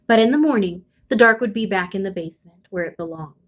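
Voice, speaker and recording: Female storybook reader, medium pitch, expressive and deliberate, building suspense for young listeners. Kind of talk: expressive children's picture book read-aloud.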